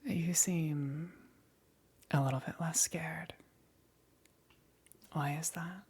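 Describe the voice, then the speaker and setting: A woman playing a powerful demon queen, gentle yet calmly menacing, in a breathy whispered ASMR roleplay with long pauses. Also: deep voice, heavily acted. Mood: soothing, teasing. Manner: slow, soft, coaxing, with long pauses.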